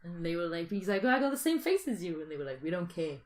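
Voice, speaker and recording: A woman with a slightly low voice co-hosts a casual podcast. She thinks aloud in loose, informal phrases. Her delivery is playful and conversational. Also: very animated, fast, darkish tone. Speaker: podcast co-host. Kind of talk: casual speculative chat.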